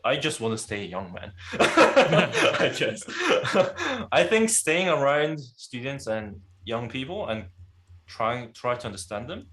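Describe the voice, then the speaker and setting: A man's voice: mid-range voice, very animated, slow, bright, forceful tone. A young man born in Korea, a guest in a casual podcast interview, who laughs often and restarts his sentences.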